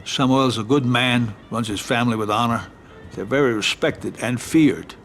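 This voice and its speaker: An actor playing a calm, dry, calculating mafia consigliere in a video game cutscene. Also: male voice, mid-range voice, moderate pace, lightly acted.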